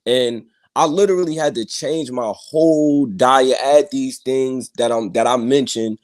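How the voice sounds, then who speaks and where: A man's voice: medium pitch, measured pace, darkish tone. A young man on a remote call, insistently explaining himself in casual discussion, often repeating and restarting. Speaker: guest on a panel stream.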